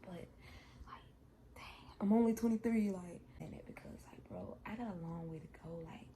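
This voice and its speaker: A young woman in her twenties with a mellow, gravelly voice, sliding into fry. She talks in a loose, heartfelt ramble, circling back and repeating her points as she chats with her viewers. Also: medium pitch, moderate pace, a little hiss or hum. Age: 20s. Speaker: vlogger. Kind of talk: casual vlog chat.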